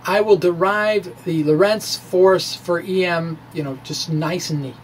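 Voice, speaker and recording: Science vlogger, male, middle-aged. Informal, theatrical, halting delivery; some background room noise. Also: sits high. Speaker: science video blogger. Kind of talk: informal dramatic reading of a physics blog to camera. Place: small indoor room with bookshelves.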